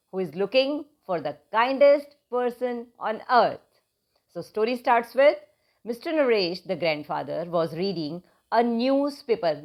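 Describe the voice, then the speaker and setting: A woman teaching young children phonics, patiently stretching out and repeating each letter sound. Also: medium pitch. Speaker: kindergarten teacher. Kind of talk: children's phonics lesson with read-aloud story.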